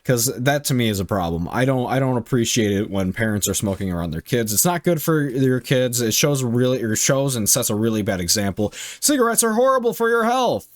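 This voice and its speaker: A man's voice: medium pitch, big pitch swings, fairly quick, hardly any pauses. A young man reading online stories aloud and reacting bluntly, with frequent swearing. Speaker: reaction video host.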